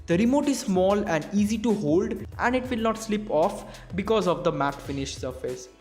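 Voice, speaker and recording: Young indian guy reviewing gadgets, high voice, clear and steady, faint music behind. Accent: Indian English. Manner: clear, measured explanatory delivery. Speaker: tech reviewer. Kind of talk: scripted product review voice-over.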